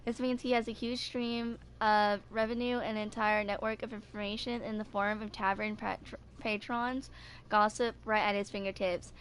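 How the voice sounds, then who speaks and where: A woman's voice: mid-range voice, level tone, normal speed, a little hiss or hum. A woman in her twenties reading game text aloud in a casual way, as a gaming video creator. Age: 20s.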